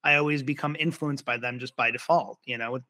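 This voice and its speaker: Upbeat podcast host, bright dry voice. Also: male voice, mid-range voice, steady tone, fairly quick, dry recording. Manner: upbeat and affirming. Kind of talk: casual interview chat.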